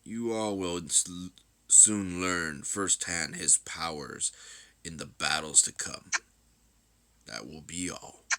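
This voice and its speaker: A man playing a solemn, imperious emperor in a game story, speaking with slow, commanding gravity. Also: sits low, pushed and bright, heavily acted. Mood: stern.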